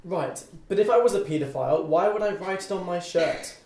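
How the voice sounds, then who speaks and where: A man's voice: high voice, a little fast, slight background noise. A man playing an indignant, drunk student at a table read, protesting in a mock-formal, argumentative way. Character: indignant, trashed young male student refused club entry. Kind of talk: informal script table read. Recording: echoey room with loud chatter.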